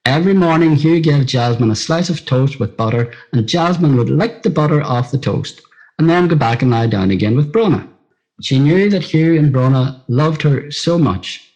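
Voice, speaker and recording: A man's voice: a little high, expressive, normal speed, a little mellow, highs cut off. A middle-aged man with a Northern Irish accent reads his own scripted personal story in a simple, plain-spoken storytelling manner. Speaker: writer reading own story.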